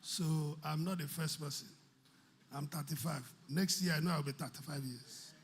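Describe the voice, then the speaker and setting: A man's voice: on the high side, fairly even intonation, moderate pace. A man preaching a sermon, repeating key phrases and asking rhetorical questions. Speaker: pastor.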